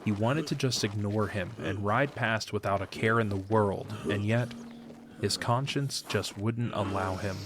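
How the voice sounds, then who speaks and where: A man reading scripted narration for a fantasy audio drama in a measured storytelling manner. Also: fairly low pitch.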